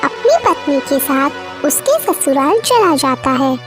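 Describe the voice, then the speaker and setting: A woman's voice: high voice, sing-song, slow pace, music in the background. A woman narrating a scripted moral story as its storyteller.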